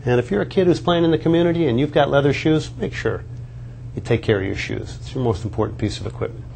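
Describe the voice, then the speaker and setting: A man's voice: medium pitch, quick pace, vocal fry, a little mellow, slight background noise. Man, 40s, sports TV host, chatty, walking tour, indoors, lapel mic, faint ambience. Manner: upbeat, chatty, pointing things out as he walks. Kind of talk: walk-through tour presenting. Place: indoor locker room and hallways.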